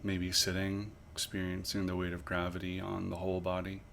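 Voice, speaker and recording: A man leading a guided body scan meditation as an instructor, with measured, pausing, gently instructive phrasing. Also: low-pitched, completely flat delivery, vocal fry, slight background noise.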